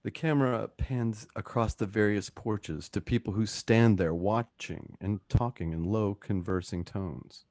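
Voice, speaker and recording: A man's voice: lowish voice, unhurried, hardly any pauses, darkish tone, no echo. A man reading scripted stage directions as the narrator of a radio play. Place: at home, close dry microphone.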